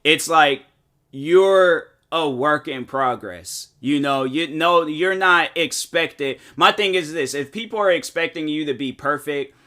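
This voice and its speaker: Bright-voiced young man podcasting. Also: on the high side, measured pace. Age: 20s. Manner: rambling, motivational, heavy filler words. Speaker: podcast host. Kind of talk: casual solo podcast monologue.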